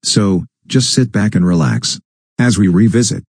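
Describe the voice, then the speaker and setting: A male presenter reads a scripted radio introduction. His voice is deep, mellow and somewhat husky. It is recorded close and dry. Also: moderate pace, no room sound.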